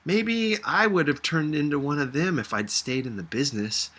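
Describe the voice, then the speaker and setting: Husky-voiced man reading a story. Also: fairly high pitch, moderate pace, pushed and bright. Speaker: audiobook reader. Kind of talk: first-person story narration, reading aloud.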